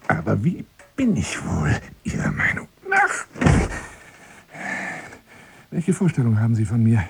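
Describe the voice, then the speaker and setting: An actor playing a lonely, boastful gentleman courting by telephone in formal, flowery German radio drama style, moving between murmurs and outbursts. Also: lowish voice, creaky, slight background noise, exaggerated voice. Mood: coquettish. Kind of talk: radio drama monologue as one side of a phone call.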